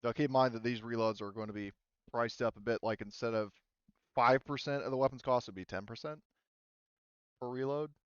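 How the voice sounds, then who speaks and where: Crisp-voiced man running a tabletop game. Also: medium pitch, fairly quick, dry recording, muffled recording. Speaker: game master. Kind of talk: casual online tabletop game session.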